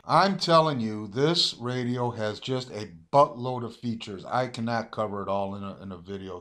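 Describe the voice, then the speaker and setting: A man's voice: mid-range voice, fairly bright tone. An American man chatting casually as he reviews a product, rambling and hesitant as he thinks aloud. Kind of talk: casual unboxing chat. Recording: roomy, dull lo-fi amateur audio, faint hiss.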